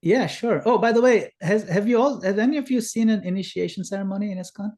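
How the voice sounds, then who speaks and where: A man's voice: sits high, fast, darkish tone. A middle-aged man from Kenya giving an earnest, explanatory spiritual talk over a video call, with fillers. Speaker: guest speaker.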